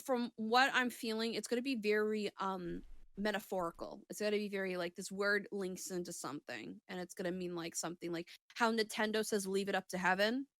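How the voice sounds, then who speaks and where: Woman doing a casual psychic reading chat, kinda halting and rephrasing stuff, mid pitch, dry sound. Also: fairly bright tone, no room sound. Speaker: psychic reader on a talk show. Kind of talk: casual intuitive reading in conversation.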